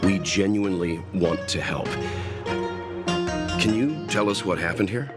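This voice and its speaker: A man voicing a calm, mature gentleman traveler in a video game, polite and measured. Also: deep voice. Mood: courteous. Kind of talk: video game character acting. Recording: loud game music behind voice. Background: game music.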